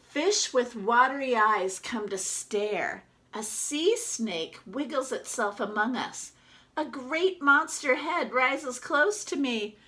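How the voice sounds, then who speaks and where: A woman reading a children's picture book as a small, timid yellow toy duck, with expressive, dramatic narration. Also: a little high, slow, exaggerated voice. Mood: wistful storytelling.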